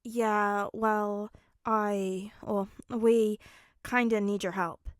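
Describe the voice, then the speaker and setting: A woman voicing a dry, awkward teenage son with a flat, hesitant delivery in a story reading. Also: mid-range voice, extremely slow, dry recording.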